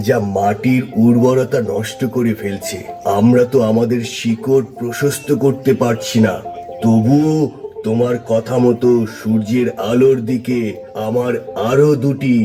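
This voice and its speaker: A man's voice: mid-range voice, steady tone, unhurried, dark tone, natural voice. A man voicing a long-suffering talking tree in a children's puppet show, plaintive and aggrieved. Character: long-suffering talking tree, complaining about careless humans. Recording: music behind the voice.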